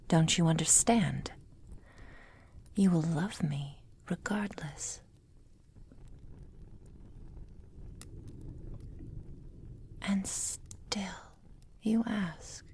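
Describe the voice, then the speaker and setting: A woman playing a possessive, manipulative witch lover in an intimate ASMR roleplay, whispering in a formal, measured, softly controlling way. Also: lowish voice, a little hiss or hum. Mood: wounded, controlling. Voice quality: breathy, mostly whispered.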